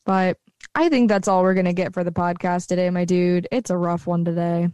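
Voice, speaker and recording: A woman with a low speaking voice, co-hosting a podcast in relaxed, chatty conversation on her own microphone at home. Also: fairly quick, smooth, dry recording. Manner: relaxed, chatty, laughs easily. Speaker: podcast co-host. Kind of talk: casual chat. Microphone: own microphone with bass roll-off.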